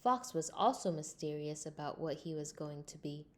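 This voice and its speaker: A woman reading a scripted children's storybook aloud in an expressive way. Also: low-pitched, normal speed. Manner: expressive, acts out character lines. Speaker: storybook reader.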